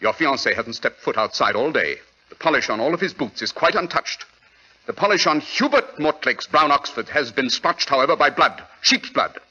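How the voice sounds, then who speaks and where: Sharp, commanding British gentleman detective. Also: medium pitch, sing-song, normal speed, exaggerated voice. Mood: conclusive. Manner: crisp, commanding, deductive. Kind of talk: 1940s radio acting. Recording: dull old radio recording.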